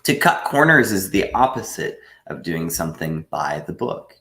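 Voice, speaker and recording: A man teaching a live online English lesson, patiently explaining with frequent pauses. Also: sits low, big pitch swings, sharp tone, echoey room. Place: room at home.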